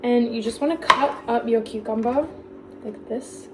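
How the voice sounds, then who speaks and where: A young woman talking casually through her cooking with a slightly hoarse, croaky voice, full of "like" fillers. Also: on the high side, moderate pace, very noisy. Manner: chatty, lots of "like" fillers. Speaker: vlogger.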